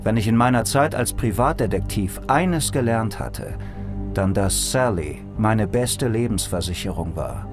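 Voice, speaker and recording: An actor playing a world-weary private detective, narrating and speaking in a noir audio drama in a dry, sardonic way. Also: male voice, lowish voice, expressive, unhurried. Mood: wry, brooding. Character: wry, world-weary male private detective in futuristic city. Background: music.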